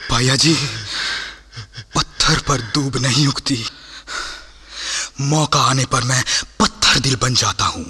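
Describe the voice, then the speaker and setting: An actor playing a proud, loyal Sikh army officer in a Hindi radio play, declaiming with passion. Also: male voice, mid-range voice, slow pace, long pauses, pushed and bright, husky, long reverb tail, exaggerated voice. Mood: defiant. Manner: impassioned, declamatory, rhetorical questions.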